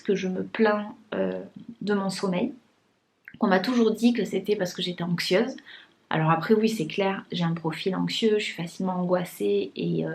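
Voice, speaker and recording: A young woman talking casually to her vlog viewers, with many fillers and restarts and a blocked, congested nose. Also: medium pitch. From France. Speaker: vlogger. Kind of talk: casual vlog chat to camera.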